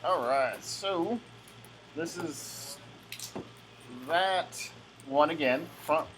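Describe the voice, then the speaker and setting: A young man talks through an unboxing in a loose, rambling way, full of "uh"s and restarts. His voice sits a touch high for a man. A faint hum of room ambience runs under his camera-mic recording. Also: very slow, fairly bright tone, low-quality recording. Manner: chatty, rambling, lots of fillers and restarts. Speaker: collectible game unboxer. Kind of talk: casual unboxing commentary.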